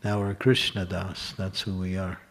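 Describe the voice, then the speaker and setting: Low gravelly man giving a scripture class. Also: little pitch movement, moderate pace, fairly bright tone. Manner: explanatory, asks and answers his own questions; lists examples in short runs. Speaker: lecturer at a temple class.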